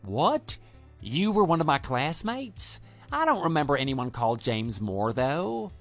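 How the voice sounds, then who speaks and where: A man's voice: a little high, big pitch swings, unhurried. A man voicing a cocky, immature love rival with a brash, pushy manner in a scripted online radio drama. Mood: defensive, incredulous. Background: background music.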